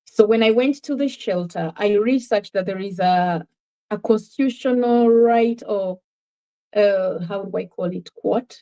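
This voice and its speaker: Woman, Ugandan accent, mid-pitched voice, earnest, reflective, personal testimony, interview guest. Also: a little slow, dark tone, rough recording.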